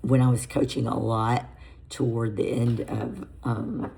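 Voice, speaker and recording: A woman in her fifties with a raspy voice, a fitness coach chatting casually to camera in a home vlog, self-deprecating and loose. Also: a bass voice, little pitch movement, unhurried, warm tone, a little hiss or hum. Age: 50s. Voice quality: raspy, gravelly. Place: home garage on a treadmill.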